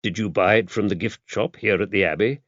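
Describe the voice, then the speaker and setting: A composed investigator, played by a male narrator in an audiobook mystery. He speaks in a fairly low voice, evenly and tactfully, probing with measured politeness. The recording is dry and a touch dull. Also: dry recording, close to an everyday voice. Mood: politely probing. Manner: even, measured, polite questioning. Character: calm, tactful male investigator, quietly probing and self-possessed.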